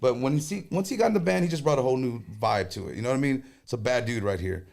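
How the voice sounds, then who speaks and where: A man speaking, a conjunto band leader chatting casually as a guest, animated and joking, with quick bursts. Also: mid-range voice, vocal fry. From Texas. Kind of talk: casual interview chat.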